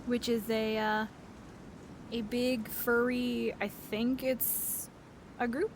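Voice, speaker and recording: A woman's voice: fairly high pitch, flat delivery, takes it slowly, noisy background. A young adult woman streaming live, chatting casually and giggling often. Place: virtual reality convention, talking from home.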